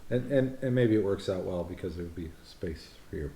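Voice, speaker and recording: A man's voice: low voice, expressive, brisk, dark tone, reverberant, a little hiss or hum. A man in his fifties from the United States, co-hosting a casual vlog and chatting in a rambling, teasing way with frequent restarts. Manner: rambling, self-correcting, teasing. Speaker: vlog co-host. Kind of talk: casual chat.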